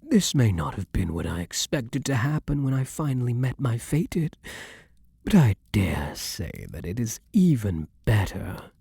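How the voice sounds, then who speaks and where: Breathy, lively-voiced vampire king played by a man. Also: mid-range voice, measured pace, no echo, studio quality, heavily acted. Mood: adoring, tender. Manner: courtly, archaic, formal phrasing. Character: male vampire king, ardent, formal, possessive yet protective lover. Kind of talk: intimate ASMR roleplay acting.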